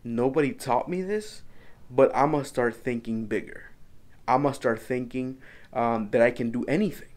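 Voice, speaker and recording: A man's voice: medium pitch, darkish tone. A young man from El Salvador, talking earnestly to camera from his home office, often repeating and restarting his phrases. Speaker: business vlogger. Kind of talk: casual vlog talk to camera.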